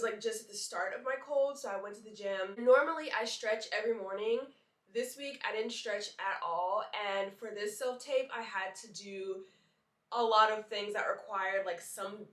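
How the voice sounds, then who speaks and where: A woman's voice: medium pitch, fairly bright tone, reverberant, far from the mic. A young woman, congested from a cold and sounding nasal, chatting casually to her vlog camera and filling her talk with "like". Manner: chatty, leans on "like" fillers. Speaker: vlogger and model.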